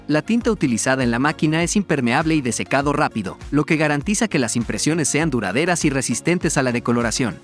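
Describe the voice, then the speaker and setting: A man narrating a scripted promotional explainer in a measured, formal read-out manner. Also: mid-range voice. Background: background music.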